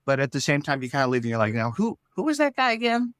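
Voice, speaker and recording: A man talking casually in an interview as a show's writer, rambling and halting as he thinks aloud. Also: a little high, wildly swooping pitch, a bit bright, no room sound. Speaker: show writer and creator.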